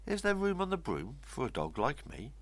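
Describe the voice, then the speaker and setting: A man's voice: on the high side, huge pitch swings, normal speed, voice pushed up. A man voicing a keen, friendly storybook dog in an eager, bouncy manner.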